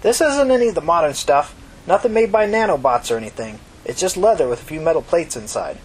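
A man's voice: on the high side, animated, fast, husky, pitched above the performer's own voice. A man voicing a kindly, talkative old mother from New York in a story reading, folksy, reflective and reassuring. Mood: warm, motherly. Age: older.

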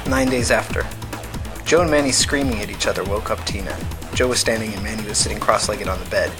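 Man narrating a novel, measured and matter-of-fact. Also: lowish voice, loud music behind the voice. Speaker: podcast narrator. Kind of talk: scripted audiobook narration of fiction.

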